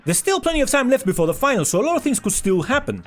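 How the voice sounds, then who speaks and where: A man's voice: very high voice, sing-song, racing, loud music behind the voice. A man hosting a scripted esports news video in a casual, sarcastic, jokey way with some swearing. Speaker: video host.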